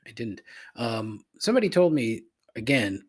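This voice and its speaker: A man's voice: mid-range voice, sing-song, normal speed, breathy edge. A congested, slightly nasal man hosting a solo podcast, rambling and joking at his own expense on a cheap microphone in a cavernous, echoey room. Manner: rambling, self-deprecating, many fillers and restarts. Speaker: podcast host. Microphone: cheap desk microphone.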